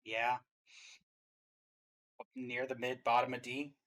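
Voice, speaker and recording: A man's voice: mid-range voice, little pitch movement, slow pace, fairly bright tone. A man co-hosting a reaction stream in casual commentary, with a dry, teasing way of talking.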